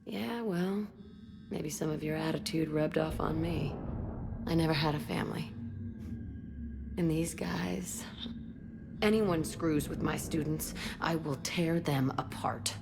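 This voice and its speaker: An actress playing a tough, foul-mouthed, fiercely protective young combat instructor in a video game, brash and sardonic. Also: female voice, low voice, takes it slowly, gravelly, pauses often, noisy background, exaggerated voice. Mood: brash, confiding. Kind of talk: video game dialogue acting.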